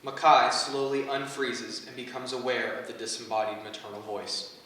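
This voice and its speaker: A man's voice: mid-range voice, little pitch movement, moderate pace, pushed and bright. A man reading stage directions as the scripted narrator of a staged play reading. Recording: echoey hall, distant mic, background noise.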